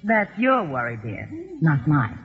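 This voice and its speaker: An actress playing a cold, scheming, greedy wife in an old-time radio drama, mocking and condescending in manner. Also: female voice, deep voice, very animated, unhurried, exaggerated voice. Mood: mocking, cool. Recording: muffled old radio recording, reverberant, music.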